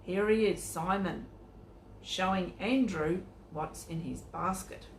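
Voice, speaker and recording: A woman reading a children's Bible story aloud as a storyteller, with an expressive delivery aimed at young listeners. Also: on the low side, takes it slowly. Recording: echoey room with faint noise.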